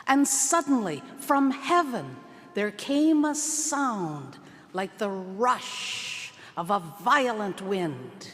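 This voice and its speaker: A woman giving a dramatic scripture reading at a church service, with theatrical, deliberate phrasing and long pauses. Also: mid-range voice, sing-song, a bit bright, a little hiss or hum. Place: large church. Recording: long church reverb, music playing behind. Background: music.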